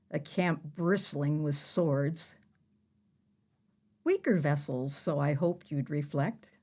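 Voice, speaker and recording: A woman playing a proud biblical heroine in an audio play, telling her tale in a measured, mocking manner. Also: sits low, a little mellow, full character voice. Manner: measured, mocking, deliberate storytelling. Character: proud, sardonic biblical heroine who slew an enemy general. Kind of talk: theatrical audio-play monologue.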